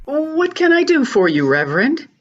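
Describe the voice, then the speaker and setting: A woman's voice: high-pitched, very animated, normal speed. A woman playing a wary, polite chemist shopkeeper in an amateur radio drama, hesitant and questioning, on a slightly muffled recording.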